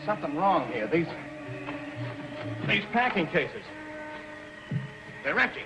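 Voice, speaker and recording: A man's voice: on the high side, big pitch swings, takes it slowly, music in the background, heavily acted. An actor playing a nervous, worried outlaw henchman in a 1950s television western. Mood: alarmed. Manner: worried, agitated. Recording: noisy old 1950s TV soundtrack.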